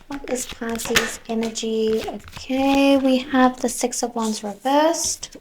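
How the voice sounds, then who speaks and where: A woman giving a tarot reading, musing softly as she goes and sometimes slipping into a whisper, her voice worn as though she is losing it. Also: a little high, fairly even intonation, a little hiss or hum, low-quality recording. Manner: slow, soft, musing, drifts into whispers. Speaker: tarot reader.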